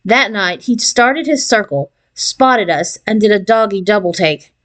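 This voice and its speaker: A woman reading a children's novel aloud in an expressive storytelling style. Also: mid-range voice, unhurried. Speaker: audiobook reader.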